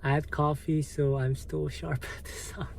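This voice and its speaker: A man with a Korean accent, a native Korean speaker, hosting a livestream and answering viewer comments in a halting, hesitant way with lots of fillers. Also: on the high side, flat delivery, creaky, slight background noise. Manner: slow, halting, many fillers and restarts. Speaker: livestream host.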